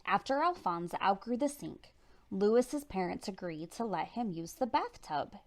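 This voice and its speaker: A woman narrates a children's picture book at storytime. Her mid-pitched voice moves up and down a lot, with animated emphasis on the dramatic turns. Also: measured pace. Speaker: storytime reader.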